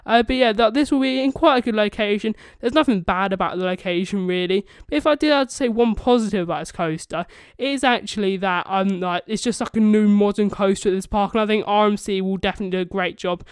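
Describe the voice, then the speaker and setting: A man's voice: sits high, fast, smooth, no room sound, studio quality. A young man giving casual, enthusiastic, rambling commentary as the host of a video channel. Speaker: YouTube vlogger, channel host.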